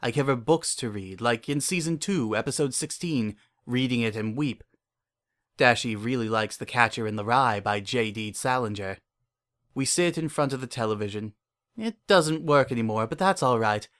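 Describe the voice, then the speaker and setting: A man's voice: medium pitch, normal speed, fairly bright tone, close to an everyday voice. Lonely, deluded male confessor for audio drama, acted by a man, mid-range voice, earnest, uneasily intense, intimate first-person storytelling. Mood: tender, obsessive. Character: lonely, obsessive, delusional man confiding his private devotion.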